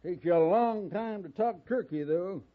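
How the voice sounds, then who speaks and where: An actor playing a loud, boastful, bullying old cattle baron in a radio Western, with a rambling drawl and an American Western accent. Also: male voice, high voice, normal speed, natural voice. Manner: blustering, repeats himself, barks orders. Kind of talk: 1950s Western radio drama acting. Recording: muffled 1950s radio recording.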